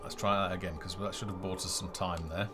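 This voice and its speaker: Low-voiced man streaming a game over music. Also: flat delivery, a little fast, bright, forceful tone. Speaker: game developer hosting a livestream. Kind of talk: live gameplay commentary.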